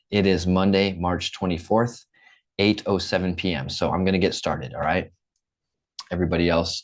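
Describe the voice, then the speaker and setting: A young American man from the Midwest teaching an English class online, upbeat and checking in often. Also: low voice. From Midwest United States. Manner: upbeat, repeats and checks in with "okay?".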